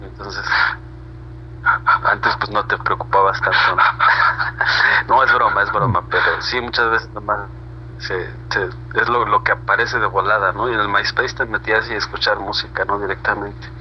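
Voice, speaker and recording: A low-pitched Mexican man chats as an interview guest. He rambles casually in slang, often ending on a tag question. The internet call sounds dull and slightly noisy. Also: steady tone, normal speed. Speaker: reggae band member being interviewed.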